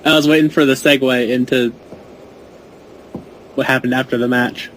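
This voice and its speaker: A man's voice: mid-range voice, steady tone, normal speed, fairly bright tone, a little hiss or hum, low-quality recording. A man on a podcast panel, bantering casually in a blunt, slangy way.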